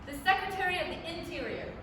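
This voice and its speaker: Woman acting a high-voiced news announcer, echoey hall. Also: fast. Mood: grave. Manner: formal, clipped bulletin delivery. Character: earnest radio news announcer reading emergency bulletins. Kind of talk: staged reading of 1930s radio drama. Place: library room, staged reading filmed from a distance. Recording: echoey, noisy hall from far off.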